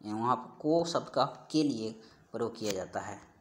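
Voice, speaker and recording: A man teaching an exam-prep grammar lesson, reading out questions and answers and repeating each one, slowing down on key phrases. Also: lowish voice, animated, a little hiss or hum.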